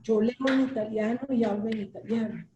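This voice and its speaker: A woman's voice: on the high side, fairly even intonation, fairly quick. An older woman from Italy, a writer on an online panel, speaking thoughtfully and fluently over a video call. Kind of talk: reflective discussion. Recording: dull echoey call audio with faint noise.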